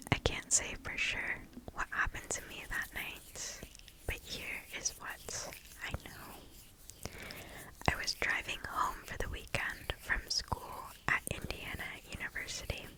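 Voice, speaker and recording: Slow, whispering woman reading ASMR stories. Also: poor audio. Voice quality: soft unvoiced whisper. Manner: slow, hushed, deliberate phrasing with pauses. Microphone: close microphone.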